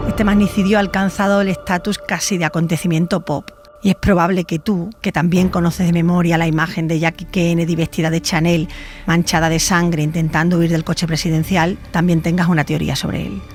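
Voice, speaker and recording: A woman's voice: lowish voice, darkish tone. A woman narrating a scripted true crime documentary as a podcast host.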